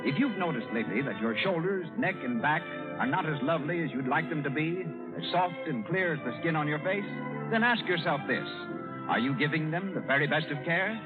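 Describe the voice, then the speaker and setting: A man's voice: a little high, animated, brisk, phone-quality sound, music in the background. A man announcing a 1930s radio show and reading its ads in a formal, declamatory way. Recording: band-limited old 1930s radio recording.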